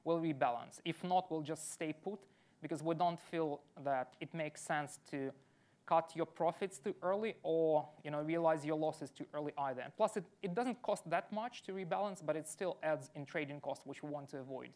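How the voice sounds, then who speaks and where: Brief: woman founder, low voice, measured explanation of a finance pitch. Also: a little mellow. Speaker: startup founder, investment manager. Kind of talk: pitch answering questions.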